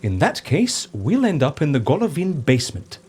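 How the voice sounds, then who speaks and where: An actor playing a witty, pompous prisoner in a comedic audio drama, theatrical and sarcastically polite. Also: male voice, fairly high pitch, wildly swooping pitch, moderate pace, a little mellow, husky, full character voice. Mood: coaxing, sardonic. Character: witty, pompous, exasperated male prisoner and schemer.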